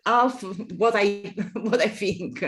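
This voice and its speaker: A woman with an Italian accent, a native Italian speaker, answering as an academic guest and haltingly searching for her English words. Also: medium pitch. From Italy. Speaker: architecture professor, guest lecturer. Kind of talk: academic discussion at a webinar.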